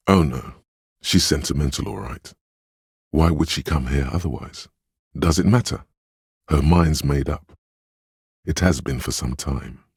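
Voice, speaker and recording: Brooding inner voice of empathy, extremely low, husky and raspy, measured game narration, compassionate, deliberate. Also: male voice, no room sound, clean studio sound, exaggerated voice. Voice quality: gravelly, husky, very deep. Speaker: video game voice actor. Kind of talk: scripted second-person game narration.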